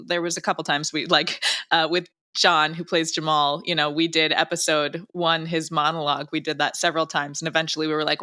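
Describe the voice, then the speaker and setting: A woman talking as an interview guest, casual and emphatic. Her voice is bright and forceful, set a little low, and she readily talks at length. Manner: talkative, emphatic. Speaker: audio drama director, interview guest.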